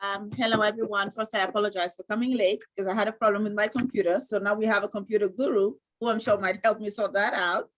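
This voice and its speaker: A woman with a medium-pitched voice and a Sierra Leonean accent talks on a video call. She introduces herself to a class in a measured, articulate way. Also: fairly even intonation, poor audio. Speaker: workshop participant.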